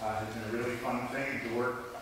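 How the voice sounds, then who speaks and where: A man's voice: mid-range voice, flat delivery, brisk. A man, a school orchestra director, answering questions in an on-stage interview. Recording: echoey hall, distant mic, faint noise.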